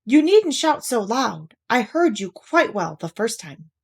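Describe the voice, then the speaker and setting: A woman acts out a fussy, cagey rabbit from a children's storybook. He sounds prim and evasive, pitched high with very lively swings. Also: a little slow, vocal fry, breathy edge, exaggerated voice. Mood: evasive, irritated.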